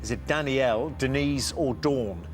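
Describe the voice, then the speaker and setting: A man's voice: medium pitch, normal speed, faint music behind. A man hosting a television quiz show, upbeat and teasing, reading questions and introducing teams.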